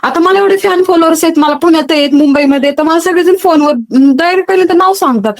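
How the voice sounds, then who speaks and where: High female voice, Maharashtrian accent, scolding, argumentative, comic phone-call style. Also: fast. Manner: scolding, nagging, interrogating. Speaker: social media video creator taking a fan's call.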